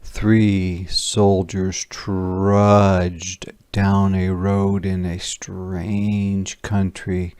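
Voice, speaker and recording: Slow, smooth, deep-voiced male storyteller. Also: flat delivery, darkish tone, no room sound. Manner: deliberate, clearly enunciated read-aloud. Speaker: storyteller reading a picture book aloud. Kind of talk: scripted children's story narration.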